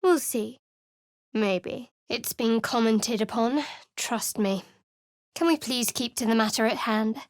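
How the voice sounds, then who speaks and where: A woman voicing a guarded, sardonic young cleric of a dark goddess in a fantasy game, speaking in a dry and wry way. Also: a little high, takes it slowly, no room sound. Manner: dry, wry and cool, with sly sarcasm. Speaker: party companion in a fantasy video game. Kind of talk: recorded game voice lines. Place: studio booth. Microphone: close studio mic.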